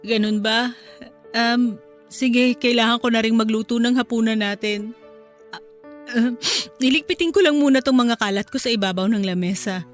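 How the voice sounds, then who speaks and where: Woman as a tired grieving mama in a radio drama, highish voice, light music under her. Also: normal speed, pauses often, close to an everyday voice. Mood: evasive, weary. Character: weary, grieving widowed mother of young children.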